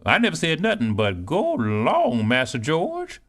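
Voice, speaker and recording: A proud older cook, put on by a male reader, pitched high and swooping wildly up and down as she bustles and brags. Scolding, lively and full of herself, like a storybook kitchen boss. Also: unhurried, full character voice. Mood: boastful. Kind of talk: audiobook character reading.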